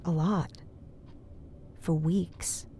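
Low, mellow, earnest psychic woman played by actress. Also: steady tone, gravelly, breathy edge, full character voice. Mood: earnest, concerned. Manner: hesitant, halting. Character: earnest, uneasy woman psychic confiding strange dreams. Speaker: voice actor. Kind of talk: naturalistic horror audio drama acting.

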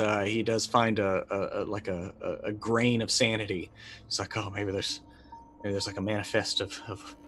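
A middle-aged man playing a tabletop roleplaying game, casually and haltingly describing what his character does, with music behind him. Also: on the low side, a bit bright. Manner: halting, thinking aloud. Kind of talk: casual chat, narrating his character's actions. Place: indoor room, video call. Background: background music.

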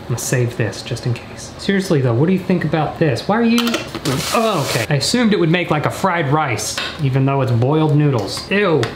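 A man in his twenties chatting through a comedic cooking vlog with deadpan, joking asides, recorded on a camera mic in a kitchen. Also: on the high side, brisk. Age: 20s. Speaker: vlogger. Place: indoors, small apartment kitchen. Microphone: camera mic at a short distance. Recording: roomy kitchen echo, background noise.

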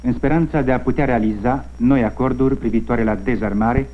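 A man's voice: mid-range voice, quick pace. A man from Romania reads formal scripted radio news into a studio microphone, recorded on old film. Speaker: radio newscaster. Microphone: studio broadcast microphone. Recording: muffled, band-limited old film sound.

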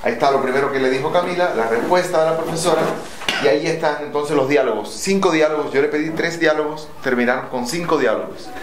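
A middle-aged Ecuadorian man with an Ecuadorian accent, leading an art workshop and explaining a drawing exercise in a thoughtful, halting way. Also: on the high side, crowd noise. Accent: Ecuadorian Spanish. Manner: thoughtful, halting, with many fillers. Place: large echoey dome indoors with people around. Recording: echoey room, distant mic, noisy.

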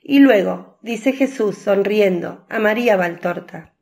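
A female narrator reads a scripted devotional story for radio. Her delivery is slow and composed, with plenty of vocal fry in a mid-pitched voice. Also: a little mellow.

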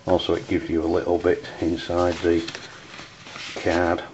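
A man with an English accent giving an unscripted product review in a hesitant, halting way. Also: deep voice, monotone, a little mellow. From United Kingdom. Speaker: amateur radio reviewer. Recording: dull lo-fi audio with background noise.

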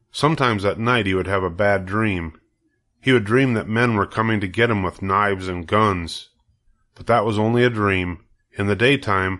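Male children's audiobook reader, lowish pitch, measured and deliberate delivery. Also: a bit bright. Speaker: storybook reader. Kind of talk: audiobook narration of a children's story.

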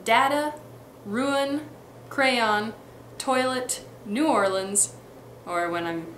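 A woman's voice: fairly high pitch, very slow, fairly bright tone, echoey room, slight background noise. Chatty young woman with a light Midwestern accent. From Texas and Missouri, US. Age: young adult. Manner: chatty, many ums and restarts. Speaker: vlogger. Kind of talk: casual accent tag vlog. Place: bedroom, indoors.

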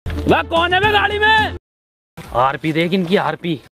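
A man's voice: extremely high pitch, huge pitch swings, a little slow, pushed and bright, soft background music. A man making brash, taunting comic game callouts. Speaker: comedian recording game voice-pack lines.

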